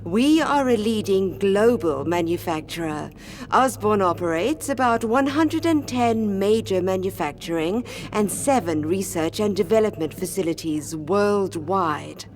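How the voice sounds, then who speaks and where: An actress playing a polished, formal corporate lab tour guide in a radio drama, with a scripted, presentational manner. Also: female voice, medium pitch, lively intonation, measured pace, darkish tone. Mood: brisk, welcoming.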